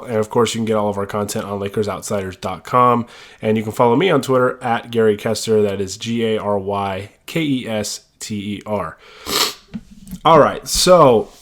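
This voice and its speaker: A man hosting a sports podcast while stuffed up with a cold, talking casually with many fillers and restarts. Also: mid-range voice. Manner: rambling with frequent fillers and restarts. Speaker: podcast host.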